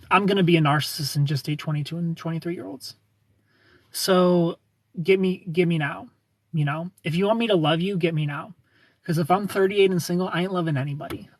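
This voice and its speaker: A man's voice: on the high side, fairly bright tone, no room sound. A man in his thirties hosting a casual livestream, reading viewer comments aloud, joking about himself and laughing often. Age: 30s. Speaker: livestream host.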